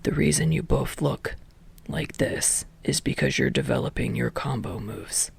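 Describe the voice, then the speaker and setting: A woman voicing a weary, deadpan male teacher who speaks in a tired, droning monotone in a story reading. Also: extremely low pitch, unhurried, vocal fry, husky, voice pushed down, full character voice.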